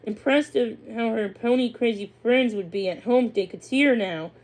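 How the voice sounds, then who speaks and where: A woman with a fairly high voice narrates a children's storybook. She reads it aloud as a story, occasionally stumbling over a word and repeating it. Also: moderate pace, a little mellow, poor audio. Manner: stumbles and rereads words. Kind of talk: read-aloud storybook narration with acted dialogue.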